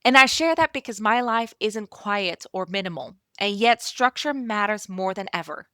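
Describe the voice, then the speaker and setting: Bright-voiced Belgian-born woman hosting calmly. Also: medium pitch, normal speed, clean tone, dry recording, clean studio sound. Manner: gentle, encouraging, speaks straight to the listener. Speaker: podcast host and operations consultant. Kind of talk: calm scripted podcast monologue.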